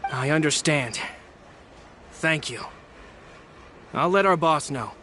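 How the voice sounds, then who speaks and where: An actor playing a chastened gang underling in a video game. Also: male voice, fairly high pitch, lively intonation, slow, bright, forceful tone, slight background noise, heavily acted. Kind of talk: video game character acting.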